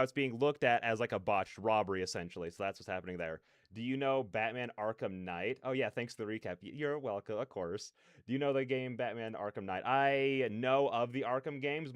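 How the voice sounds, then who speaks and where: A man's voice: medium pitch, fairly bright tone, smooth, no room sound. A man hosting a live stream in casual, rambling, self-deprecating chat, on a close dry mic. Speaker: streamer and host. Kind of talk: casual live-stream chat with chat replies and read-aloud game narration.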